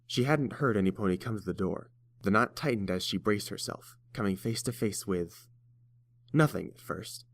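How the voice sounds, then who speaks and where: A man's voice: fairly low pitch, moderate pace, dry recording. A man reading scripted fiction aloud as the narrator of an audiobook.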